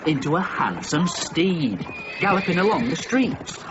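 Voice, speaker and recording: A man narrating a radio play in a measured storytelling manner. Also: on the high side, sing-song, very noisy. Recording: dull lo-fi radio recording, music behind.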